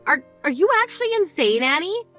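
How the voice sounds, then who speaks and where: A woman's voice: a little high, animated, fairly quick, a bit bright, soft background music. A woman playing a blunt, grieving older sister who scolds and questions in disbelief, in a scripted drama. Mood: outraged. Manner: scolding, incredulous, cutting. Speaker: sister at a funeral.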